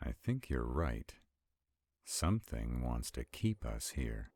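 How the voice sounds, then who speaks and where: A man's voice: very deep voice, very animated, slow, darkish tone, husky, heavily acted. A man playing an arrogant, skeptical and protective vampire in a roleplay audio drama, haughty and commanding. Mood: tense, reluctant. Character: arrogant, skeptical, protective male vampire, irritable under strain.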